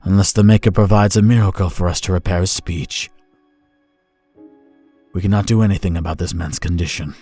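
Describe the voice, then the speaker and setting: An actor playing a grave, formal investigator in a fantasy audio drama. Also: male voice, sits low, fairly even intonation, fairly quick, long pauses, dark tone, faint music behind.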